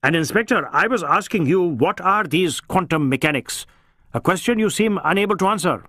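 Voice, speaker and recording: A man's voice: high voice, animated, normal speed, fairly bright tone, no echo, studio quality, voice pushed up, full character voice. A man voicing a stern, irascible senior Indian police officer in an audiobook, brusque and barking, with an Indian accent. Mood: scornful. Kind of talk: audiobook character voice.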